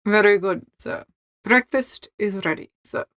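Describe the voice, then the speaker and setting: Woman as a cool, lower-voiced English valet. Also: unhurried, a bit bright, no echo, clean studio sound, natural voice. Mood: coolly polite. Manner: deferential, clipped, cool. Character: formal, aloof English male valet. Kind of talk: dramatised audiobook character dialogue.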